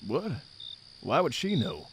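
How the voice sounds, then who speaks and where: A man's voice: medium pitch, huge pitch swings, slow, noisy background, heavily acted. A man playing an easygoing, slightly clueless dockworker in an audio drama, speaking in a casual, plain way. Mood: confused, alarmed. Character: easygoing male dockworker, loyal but a bit clueless. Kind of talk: scripted audio drama acting.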